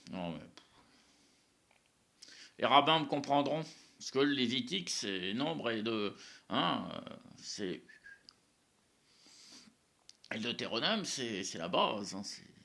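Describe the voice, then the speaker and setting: A man's voice: medium pitch, animated, slow pace, vocal fry, pauses often, sharp tone. A man whose first language is Spanish, giving a casual, rambling religious monologue to camera, often repeating words and tagging his sentences with small fillers. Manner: rambling, repeats words, frequent "hein" and "euh".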